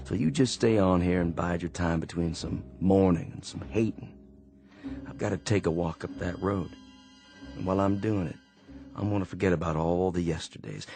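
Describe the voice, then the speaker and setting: A tired Confederate sergeant from the American South in an old-time radio play. His voice is deep and gravelly, and his halting, earnest words often repeat as he works through his thoughts, while soft music plays underneath. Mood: firm. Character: weary Confederate sergeant, a quiet and resolved drifter. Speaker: radio drama actor. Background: faint underscore music.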